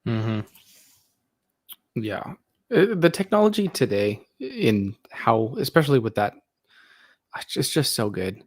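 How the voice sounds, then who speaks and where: A man's voice: medium pitch, very animated, slow pace, long pauses, no echo. A man appearing as a guest in a casual podcast chat, talking in a hesitant, laid-back way with repeated words.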